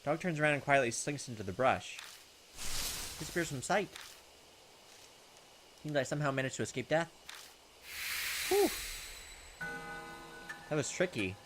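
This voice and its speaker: A man hosting a gaming video, reading visual novel text aloud and adding jokey, expressive, sometimes profane commentary. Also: a little high, unhurried, pauses often. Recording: loud game music behind the voice. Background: game soundtrack.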